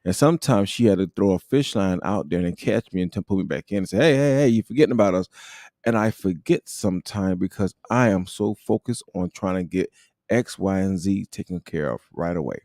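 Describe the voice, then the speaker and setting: A man's voice: low-pitched, expressive, fairly quick, a little mellow, no echo, clean studio sound. A man in his thirties co-hosting a casual livestream talk, joking and stumbling over words. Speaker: co-host.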